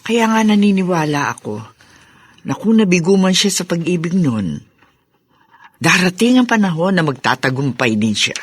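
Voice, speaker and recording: A woman's voice: lowish voice, very animated, moderate pace, pauses often, husky. A woman playing a loving, middle-aged mother in a Filipino radio drama, tender and earnest with her grown children. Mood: earnest, fond.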